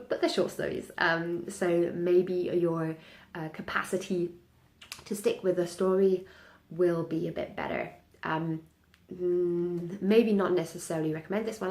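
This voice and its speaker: A woman's voice: fairly low pitch, a little mellow, echoey room. A young woman, a native German speaker, chatting casually through a reading recap with many ums and restarts. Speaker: book vlogger.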